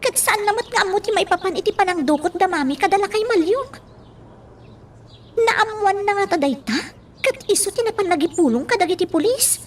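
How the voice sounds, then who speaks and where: Radio drama housemaid: very high, lively, chattering, nervous and fretful. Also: female voice, brisk, a little hiss or hum. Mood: worried. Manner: anxious, gossipy, animated. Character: chatty, fretful female servant at a mansion.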